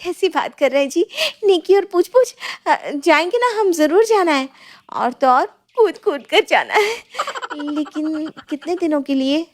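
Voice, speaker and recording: Storybook young bride, acted by a woman: high-pitched, sweet, excitable, coaxing. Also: measured pace. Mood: eager. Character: eager, sweet young newlywed daughter-in-law.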